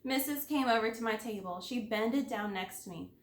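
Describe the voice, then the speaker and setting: A woman's voice: on the high side, moderate pace. A woman reading a children's story aloud expressively, as a kindergarten teacher does for her class. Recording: echoey room.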